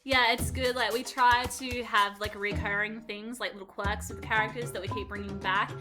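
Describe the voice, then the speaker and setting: A woman's voice: mid-range voice, steady tone, normal speed. A young adult woman chatting informally and banteringly in a Q&A, as a creator and voice actor. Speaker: online series creator and voice actor. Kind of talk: casual Q&A chat with co-creator. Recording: music playing behind the voice. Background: background music.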